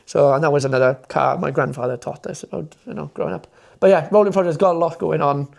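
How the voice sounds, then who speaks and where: A man's voice: a little high, fairly even intonation, fast talker, vocal fry, darkish tone. A young Welsh man, a fluent Welsh speaker, chatting as a podcast guest in a rambling, enthusiastic way full of fillers and restarts. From Wales. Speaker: podcast guest, motoring content creator. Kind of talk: casual interview chat.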